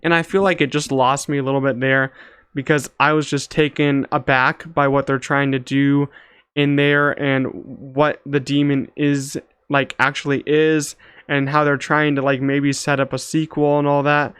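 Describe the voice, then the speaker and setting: A man's voice: medium pitch, flat delivery, moderate pace, a bit bright, clean tone, dry recording. A young man giving a casual, off-the-cuff movie review in a loose, slangy, hedging way. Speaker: movie reviewer, channel host.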